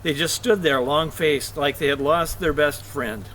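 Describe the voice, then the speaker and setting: A man reading scripture aloud for a church service, expressive, with the odd stumble and self-correction. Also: fairly high pitch, measured pace, a little hiss or hum, poor audio.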